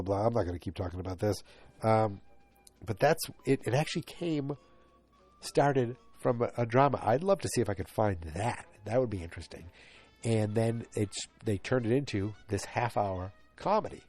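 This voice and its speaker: A stuffed-up man in his forties talks alone into his podcast mic, rambling and restarting his sentences. His voice sits on the low side and sounds mellow and dark. Faint music plays underneath. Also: lively intonation, moderate pace. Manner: rambling, self-interrupting, many fillers and restarts. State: stuffed up, congested. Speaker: podcast host. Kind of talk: solo rambling podcast talk. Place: home, late at night.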